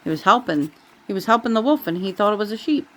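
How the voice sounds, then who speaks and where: A woman's voice: mid-range voice, expressive, fast, slight background noise. A woman reading a bedtime story aloud to a child, with casual asides along the way.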